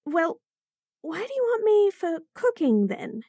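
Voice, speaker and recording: A woman's voice: very high-pitched, very animated, unhurried, mellow, no room sound, clean studio sound, heavily acted. A woman voicing a bratty, flustered young bunny girl who stammers and giggles nervously in a roleplay audio.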